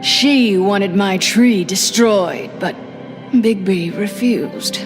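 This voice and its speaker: An actress voicing a proud, outspoken older woman who keeps a magic tree, speaking in a sharp, defiant way, in a game. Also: mid-range voice, drawn-out words. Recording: game audio with hall reverb and music.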